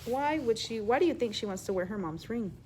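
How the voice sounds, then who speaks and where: A woman with a fairly high voice reads a picture book as a teacher would for children. Her delivery is expressive and emphatic, and she steps out of the story to explain words and put questions to her listeners. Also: fairly quick, a little hiss or hum. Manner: animated, emphatic reading with hushed asides. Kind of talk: expressive children's read-aloud with explanatory asides.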